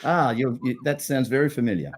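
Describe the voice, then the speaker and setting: A man's voice: medium pitch, expressive, a little fast. A man with an Australian accent, co-hosting a casual live-stream chat in a friendly, upbeat way with plenty of restarts. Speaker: co-host.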